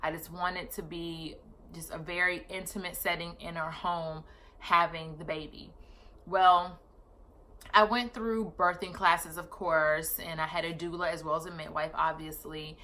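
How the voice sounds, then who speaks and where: A woman in her thirties talks straight to her viewers in a loose, chatty vlog style. Her voice sits a little low but comes across bright and forceful. She often stops to correct herself mid-sentence and keeps going. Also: level tone, unhurried. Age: 30s. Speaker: vlogger. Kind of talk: casual personal vlog storytelling.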